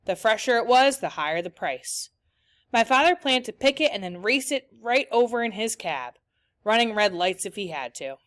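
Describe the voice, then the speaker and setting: A woman teacher reading a children's novel aloud, with clear storytelling after a few discussion questions. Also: fairly high pitch, animated, normal speed, a bit bright, dry recording.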